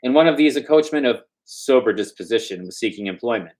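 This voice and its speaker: A man's voice: mid-range voice, expressive, quick pace, a little mellow. A man reading a story aloud informally as host, stumbling over words now and then and slipping in loose asides.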